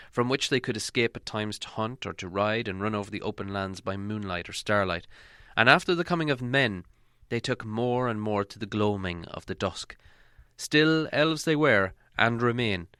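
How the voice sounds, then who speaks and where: A man narrating a fantasy storybook as an audiobook reader, with measured, dramatic delivery. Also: fairly low pitch, a bit bright, smooth, no room sound, studio quality.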